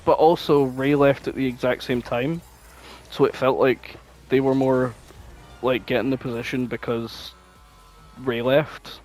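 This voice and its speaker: A man's voice: mid-range voice, fairly even intonation, a little slow, darkish tone. Blunt, sweary man over background music. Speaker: podcast co-host. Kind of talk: casual chat, reminiscing.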